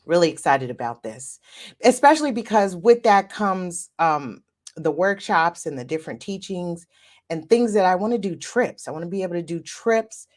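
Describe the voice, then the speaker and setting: Woman, host, nasal, congested, low voice, upbeat, home office, desk mic. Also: lively intonation, moderate pace, darkish tone. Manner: upbeat, repeats phrases, talks straight to the audience. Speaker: real estate podcast host. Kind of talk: livestreamed talk show. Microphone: desk microphone.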